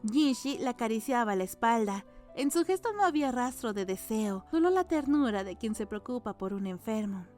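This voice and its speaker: A woman narrates a novel in a fairly high voice. It is scripted storytelling for an audiobook, with music underneath. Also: lively intonation, moderate pace, few pauses, a little mellow.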